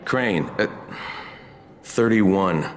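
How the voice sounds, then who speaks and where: An actor playing a wry undercover agent in a video game cutscene, speaking in a hesitant, conversational way. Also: male voice, low-pitched, animated, extremely slow, slight background noise, heavily acted. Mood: confused. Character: wry newly arrived undercover agent, adult man.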